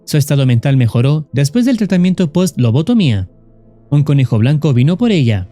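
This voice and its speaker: Lively, mellow male narrator. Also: a little high, fairly quick. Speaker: narrator of a horror series recap. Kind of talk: scripted narration, explaining a story.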